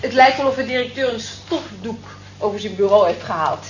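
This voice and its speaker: Actress doing a chatty wistful cleaning lady in an old radio play, high voice, roomy muffled sound. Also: fairly quick, slight background noise, close to an everyday voice. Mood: chatty, musing. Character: Molly, wistful, observant working-class night office cleaner.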